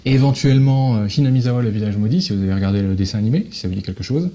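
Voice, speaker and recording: A man's voice: lowish voice, racing, warm tone. A man in his thirties, an interview guest explaining his work casually in hesitant, broken-up phrases. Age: 30s. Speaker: guest, Japanese-French translator.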